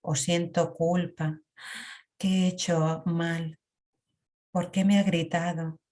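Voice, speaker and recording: A woman leading a guided emotional meditation in a calm, reflective way. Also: on the low side. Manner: slow, calm, reflective guidance with long pauses.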